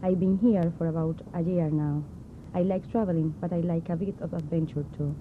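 A woman playing a calm accountant. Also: fairly low pitch, a little fast, natural voice. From Buenos Aires, Argentina. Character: calm female accountant, adult. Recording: tinny tape with hiss.

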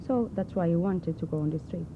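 A woman playing a calm, measured accountant. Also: on the low side, close to an everyday voice. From Buenos Aires, Argentina. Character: calm female accountant, adult. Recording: tinny tape with hiss.

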